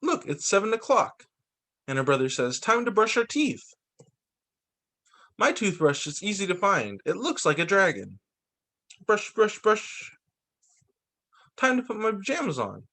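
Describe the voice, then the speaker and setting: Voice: medium pitch, huge pitch swings, measured pace, long pauses, a bit bright. A teacher reading a picture book aloud to children, expressively acting out the characters' lines. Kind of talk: animated children's story reading. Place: remote video story time for distance learning.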